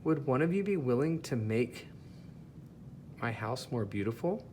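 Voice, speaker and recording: A man voicing an earnest, polite, admiring hermit crab in a children's storybook, with courteous, expressive delivery. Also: medium pitch, unhurried, a little hiss or hum, close to an everyday voice.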